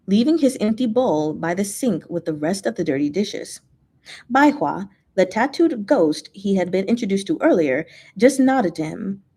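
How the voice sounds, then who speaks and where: A woman reading fiction aloud as narrator, in an expressive storytelling style. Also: medium pitch. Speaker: fanfiction reader, channel host.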